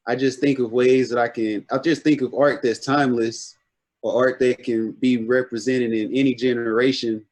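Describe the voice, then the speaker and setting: A man in his late twenties talks over a video call for a podcast interview. He is relaxed and rambling, trailing off and circling back as he searches for words. His voice sits at an ordinary middle pitch. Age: 20s. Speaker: guest artist.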